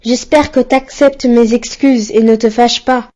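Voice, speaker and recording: A woman plays a kind cartoon boy with a lightly high, warm-toned voice. He sounds devoted and well-mannered, choosing polite, slightly formal words. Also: fairly even intonation, measured pace, natural voice. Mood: courteous, apologetic. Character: devoted, gentle, courteous young son and friend. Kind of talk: didactic cartoon dialogue.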